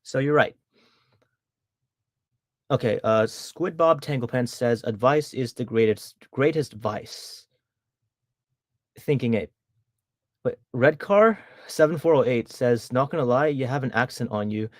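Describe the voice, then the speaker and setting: A man's voice: medium pitch, measured pace, long pauses, no room sound, studio quality. A young man streaming casually, reading out chat messages and rambling with frequent restarts, his tongue held back from an underbite. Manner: rambling, self-correcting, laughs at himself.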